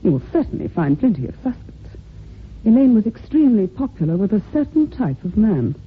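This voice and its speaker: An actress playing a prim, defensive, devoted secretary who speaks formally and emphatically in an old-time radio drama. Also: female voice, medium pitch, sing-song, brisk, breathy edge. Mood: bitter, frank. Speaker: actor in a radio mystery. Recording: thin, phone-like old radio recording.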